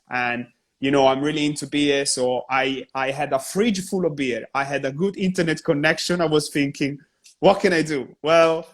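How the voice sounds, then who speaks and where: A man hosts a live stream over a video call, talking in a chatty, enthusiastic way. His voice sits a little high for a man. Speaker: host. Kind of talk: live stream interview host.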